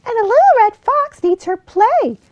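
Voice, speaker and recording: A woman voicing a playful little female fox with a high, squeaky voice in a children's storybook reading. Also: very animated, moderate pace, darkish tone, lo-fi sound. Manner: high squeaky voice, tearful when sad.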